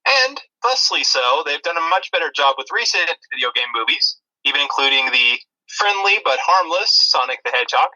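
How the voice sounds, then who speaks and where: A man with a strikingly bright, sharp voice that sits a little high. He talks casually as a podcast co-host reviewing movies, slipping in dry, wry remarks. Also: big pitch swings, normal speed, few pauses, low-quality recording.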